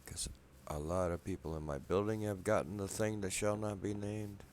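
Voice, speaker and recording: A man quietly rambling through casual gameplay commentary in his apartment at night, a bit wheezy from a cold, soft-spoken and self-deprecating. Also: low-pitched, steady tone, moderate pace, slight background noise. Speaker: gameplay video maker. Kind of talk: casual let's play commentary.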